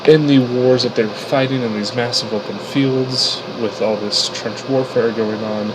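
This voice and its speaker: A man's voice: medium pitch, fairly even intonation, moderate pace, background noise. Congested man explaining casually. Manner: casual, rambling with fillers and restarts. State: sick, congested. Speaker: hobby 3D weapon modeler. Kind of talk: unscripted explanation over 3D model footage.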